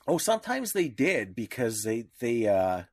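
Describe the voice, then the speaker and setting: A man with a Canadian accent in a casual podcast discussion, speaking haltingly with repeated words and restarts. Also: medium pitch, very animated, dry recording, studio quality. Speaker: podcast co-host. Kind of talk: casual discussion of media law.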